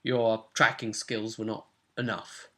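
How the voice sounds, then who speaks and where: Bright-voiced young British man. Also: lowish voice, level tone, unhurried. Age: young adult. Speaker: game master, co-host. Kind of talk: casual recap of a tabletop role-playing game. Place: small cluttered room at home.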